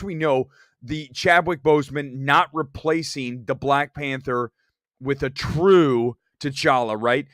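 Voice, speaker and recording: A man's voice: medium pitch, a bit bright, no room sound, clean studio sound. A man with a slight cold hosting a movie talk show, giving emphatic, conversational opinions and reading out viewer comments.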